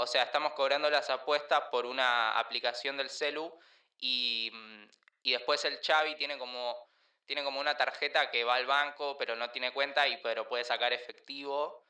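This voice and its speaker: An Argentine actor playing an awkward, evasive teenage son who rambles hesitantly in slang in an audio drama. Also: male voice, mid-range voice, moderate pace, bright, forceful tone. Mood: awkward, wheedling. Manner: hesitant, rambling, full of fillers. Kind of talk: naturalistic audio drama acting.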